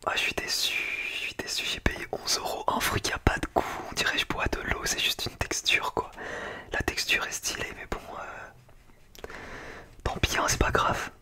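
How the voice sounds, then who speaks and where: Man, breathy whisper, ASMR style, casual slangy chat, food-tasting creator. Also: normal speed, pushed and bright.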